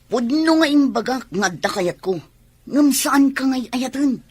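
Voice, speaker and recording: A woman's voice: high-pitched, expressive, unhurried, darkish tone, slightly rough, dry recording, full character voice. A Filipino actress playing a brash, scheming young tomboy woman in a radio soap opera. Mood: teasing, brash.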